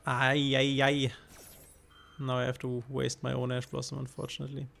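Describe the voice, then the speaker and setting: German streamer, male, flat gravelly delivery, faint music underneath, musing over plays. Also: mid-range voice, normal speed. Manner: thinks aloud, hesitant, reacts to chat. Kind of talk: casual gameplay commentary.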